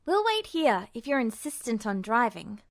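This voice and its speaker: A woman's voice: fairly high pitch, big pitch swings, normal speed, dry recording, clean studio sound. A woman voicing an irritable, commanding teenage boy in a story reading. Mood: annoyed, impatient. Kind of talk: character dialogue in fan fiction reading.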